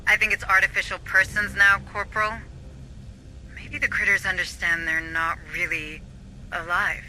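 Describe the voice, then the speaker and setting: A man's voice: sits high, flat delivery, normal speed, bright, forceful tone, slight background noise, rough recording. A male actor playing an earnest, thoughtful and wry scientist in a video game cutscene. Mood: matter-of-fact, wry. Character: earnest man scientist, former facility insider, thoughtful and wry.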